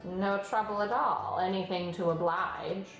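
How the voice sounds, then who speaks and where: A woman's voice: medium pitch, steady tone, lo-fi sound, close to an everyday voice. A woman voicing a sly, oily male rat who drawls obligingly in a children's storybook read-aloud. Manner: drawling, slow. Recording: roomy sound, music behind.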